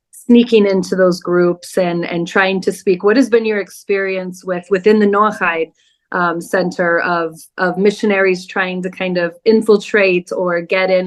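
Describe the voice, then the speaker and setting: A woman, a social worker by trade, hosting an interview and asking measured questions. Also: mid-range voice. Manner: warm brief affirmations, measured questions. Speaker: interview host.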